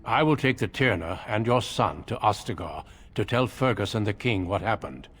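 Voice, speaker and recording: An actor playing a courteous, grave warrior recruiter in a video game, speaking in a measured, formal way. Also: male voice, fairly low pitch, lively intonation, a bit bright, soft background music. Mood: solemn, firm. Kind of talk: dramatic video game acting.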